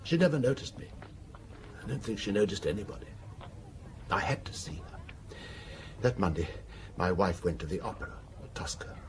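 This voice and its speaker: A deep-voiced, wealthy man played by a male actor makes a confession in an audio drama, grave and anguished. He speaks in halting, clipped phrases, as someone deeply wronged. Noisy ambience runs under his voice. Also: animated, close to an everyday voice. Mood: haunted, confessional. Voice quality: wheezy. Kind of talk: radio drama acting, confessional monologue.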